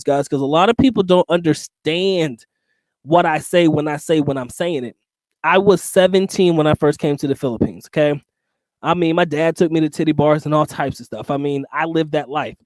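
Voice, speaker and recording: A man's voice: fairly high pitch, darkish tone, no echo. A middle-aged man telling stories in a loose, rambling way and talking straight to his viewers as a livestream host. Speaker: channel host reading live chat. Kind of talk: casual livestream storytelling.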